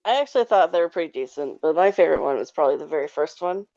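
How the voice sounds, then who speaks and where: Low-voiced woman chatting, slightly muffled audio. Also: expressive, rapid-fire, creaky, darkish tone, no echo. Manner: hedging, self-described nerdy enthusiast. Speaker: podcast co-host reviewing a show. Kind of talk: casual chat, film and stage review.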